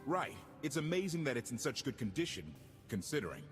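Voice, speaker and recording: An actor playing a plain-spoken former warship captain in a game cutscene. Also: male voice, mid-range voice, sing-song, a little fast, close to an everyday voice. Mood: serious. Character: blunt former warship captain, earnest man. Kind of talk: video game cutscene acting. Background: game music.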